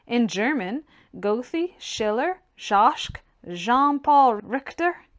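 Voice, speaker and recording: A woman's voice: on the high side, expressive, extremely slow. A woman reading literary fiction aloud as scripted audiobook narration. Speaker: audiobook reader.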